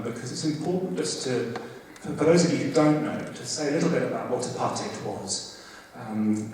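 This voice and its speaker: A man's voice: medium pitch, far from the mic. Man lecturing in an echoey hall. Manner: hesitant, many fillers and restarts; loud voice. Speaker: host introducing a guest lecture. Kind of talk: academic lecture. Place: large hall.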